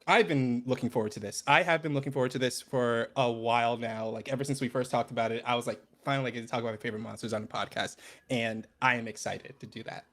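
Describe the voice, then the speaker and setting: A man's voice: mid-range voice, steady tone, fast talker, a bit bright. A man appearing as a podcast guest, explaining things in a casual, rambling way full of asides. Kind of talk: casual chat.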